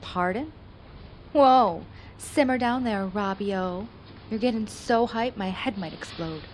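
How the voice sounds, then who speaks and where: A woman's voice: medium pitch, measured pace, slight background noise, lightly acted, rough recording. A woman playing a teasing, friendly young university student in a radio play, wry and playful.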